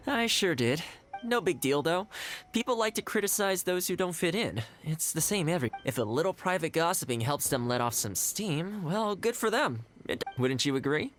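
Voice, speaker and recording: A man's voice: on the high side, a bit bright. A man playing an easygoing, polite young housekeeper in a video game, speaking courteously with a light playful touch. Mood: breezy, forgiving. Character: easygoing, polite young male housekeeper, good-natured and unflappable. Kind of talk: video game dialogue acting. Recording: game music behind the voice.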